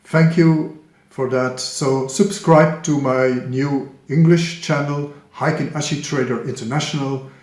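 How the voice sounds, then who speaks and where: A man, a Dutch speaker, making a casual announcement to the viewers of his trading channel, with many pauses and fillers. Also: medium pitch, fairly even intonation, a little mellow, echoey room. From Flemish Belgium. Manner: hesitant, frequent "uh" and "um", self-corrections. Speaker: trading YouTuber.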